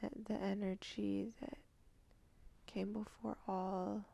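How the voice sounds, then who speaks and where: A woman leading a channeled guided meditation, speaking softly and half-whispering, with sighs and long pauses. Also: mid-range voice, little pitch movement, creaky. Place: indoors at night.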